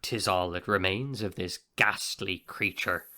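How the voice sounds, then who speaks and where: Bright, forceful, boastful captain. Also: male voice, low voice, a little slow, a little hiss or hum, exaggerated voice. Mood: showy. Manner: grandiose, pompous, dramatic storytelling. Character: boastful, swaggering young upstart pirate captain. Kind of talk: audio play acting.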